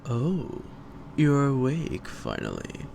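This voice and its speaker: A man playing a suave, psychotic gentleman vampire in an audio roleplay, pompous, taunting and predatory, with drawn-out and sometimes whispered delivery. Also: huge pitch swings, heavily acted. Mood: feigned politeness. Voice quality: very deep, gravelly. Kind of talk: theatrical roleplay monologue to the listener. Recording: noisy amateur home recording.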